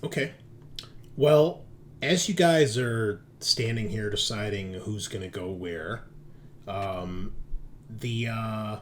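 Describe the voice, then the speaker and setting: A man working as game master, improvising descriptive narration for a tabletop horror game in a halting way. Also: fairly low pitch, a little hiss or hum.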